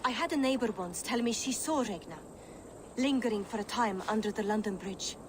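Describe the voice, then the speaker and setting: A woman's voice: fairly high pitch, brisk, background noise, natural voice. A woman playing an anxious, earthy Viking-age mother in a video game cutscene, fretful and full of feeling. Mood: worried, fretful. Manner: fretful, emotive.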